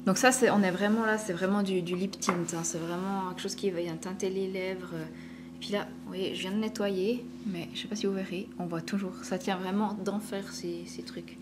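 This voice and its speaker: A woman with a Swiss French accent chatting casually as a beauty vlogger, full of fillers and dropping to soft whispers. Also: mid-range voice, fairly even intonation, normal speed. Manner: chatty, many fillers, drops to whispers while swatching. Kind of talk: casual haul chat. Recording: noisy home recording with hum.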